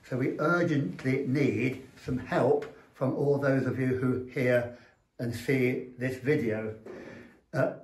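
Older English male, priest, slow and halting delivery, recorded in an echoey small room. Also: medium pitch, darkish tone. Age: older, around 70s. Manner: halting, measured, earnest. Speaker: Orthodox parish priest. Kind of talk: unscripted fundraising address to camera. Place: small indoor chapel room. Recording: roomy echo from camera mic.

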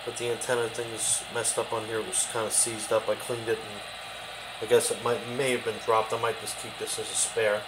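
A man with a lowish voice shows off gear in an unscripted, casual way. His phrases come haltingly and often trail away. It is recorded on a handheld camera in a home kitchen, with plenty of noise behind him. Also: completely flat delivery. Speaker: host of a radio hobby video show. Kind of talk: casual show-and-tell product demonstration. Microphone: handheld camera, behind the lens.

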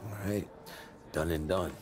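A middle-aged man playing a calm, wry, mentoring sports agent in a video game cutscene, plain-spoken and assured. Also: deep voice, measured pace, background noise. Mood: businesslike.

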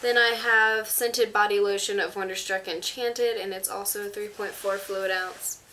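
A woman's voice: mid-range voice, fairly even intonation, moderate pace, bright, forceful tone, slight background noise, rough recording. A teenage girl with a stuffy, congested voice, chatting to camera in her bedroom as she shows off items in a rambling, casual way. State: congested, under the weather. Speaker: vlogger. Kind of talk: casual product show-and-tell to camera. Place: small bedroom indoors. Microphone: camera on a stand in front of her.

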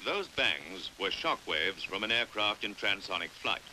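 Man, British accent, 1950s educational narration, measured and precise, animated pitch, faint film hiss. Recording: hissy old 1950s film soundtrack.

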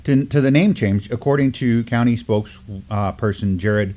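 A man's voice: mid-range voice, moderate pace, mellow. A middle-aged man with an American accent co-hosts an informal news discussion, seated at a desk in a small studio. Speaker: co-host of a Native news live stream. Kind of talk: informal news discussion, partly reading articles aloud.

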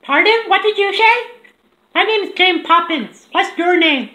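A man's voice: very high-pitched, lively intonation, unhurried, bright, forceful tone, pitched above the performer's own voice, exaggerated voice. A man voicing a ditzy young woman on a prank phone call, with mock-innocent, pestering, repetitive questions. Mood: mock-friendly. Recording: roomy echoing indoor recording.

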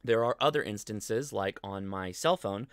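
American male host, forties, for an informal explainer stream; clean, smooth voice, dry close sound. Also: lowish voice, normal speed, no echo. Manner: informal, conversational, thinking aloud. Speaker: host of a ham radio show. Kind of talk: casual livestream explainer. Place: indoor home studio.

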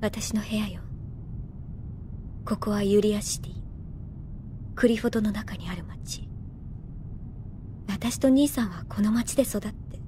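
A woman playing a reserved, troubled young woman who speaks softly in a Japanese anime audio drama. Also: medium pitch, a little slow, long pauses, background noise, lightly acted. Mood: subdued.

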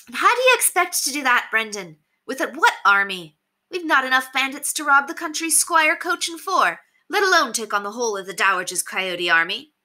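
A woman's voice: high-pitched, animated, brisk, pushed and bright, voice pushed up, full character voice. A woman voicing a defiant, sceptical female bandit in an audiobook story, challenging and insistent. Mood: scornful challenge.

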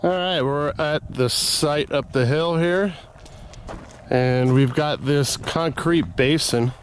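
A man narrating casually as he explores an old desert site outdoors, thinking aloud with pauses, recorded on a camera mic. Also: on the high side, slight background noise. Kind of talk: casual narration while walking.

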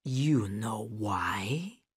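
A man's voice: fairly low pitch, expressive, very slow, slightly rough, no room sound, studio quality, pitched below the performer's own voice, heavily acted. A man voicing a volatile, menacing gangster boss in his fifties in an audiobook story. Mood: whispered threat. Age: middle-aged. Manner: swings from hushed menace to screaming rage.

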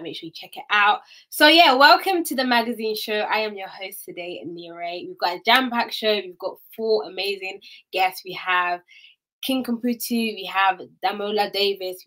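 Young woman, online interview host, enthusiastic and affirming, with a forward, bright tone. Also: medium pitch, poor audio. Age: young adult. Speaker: show host. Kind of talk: upbeat interview chat. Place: small room at home, video call. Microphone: video call.

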